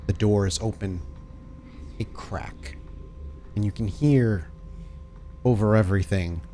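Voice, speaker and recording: A man narrating a tabletop horror game as storyteller, with dramatic, deliberate scene-setting. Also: fairly low pitch, warm tone, slight background noise.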